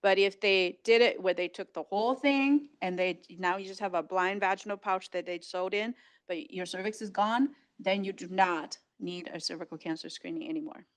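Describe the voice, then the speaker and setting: A woman's voice: medium pitch, moderate pace, no room sound. A woman physician answering audience questions at a health talk, explaining plainly and casually and checking in with "right?". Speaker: physician and speaker.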